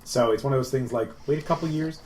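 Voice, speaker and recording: A man's voice: mid-range voice, quick pace, darkish tone, reverberant. A man co-hosting a podcast, chatting casually about video games in a rambling, filler-heavy way. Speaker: podcast co-host. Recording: noisy room with ambient sound.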